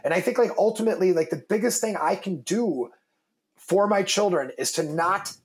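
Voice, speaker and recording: A man in his thirties with a very deep voice, talking casually and emphatically in an interview, swearing freely and repeating words as he starts his thoughts. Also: moderate pace. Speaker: guest, business founder and father.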